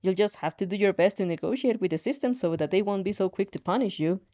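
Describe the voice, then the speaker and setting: A woman reading fan fiction aloud in an animated way, now and then stumbling and rereading a phrase, on a slightly dull recording. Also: mid-range voice, fast talker. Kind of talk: animated story reading with asides.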